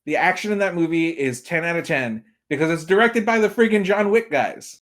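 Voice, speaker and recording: A man's voice: a little high, fairly quick, a bit bright. A man chatting casually and giving emphatic opinions as a podcast guest, repeating points for effect. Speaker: podcast guest, film and game pundit.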